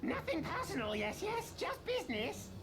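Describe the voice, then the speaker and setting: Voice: very high-pitched, sing-song, moderate pace, a crowd in the background, full character voice. A performer voicing a small, obsequious beast-folk merchant in a video game, oily and mock-apologetic, repeating words in odd syntax. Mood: oily, gloating. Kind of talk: video game character acting.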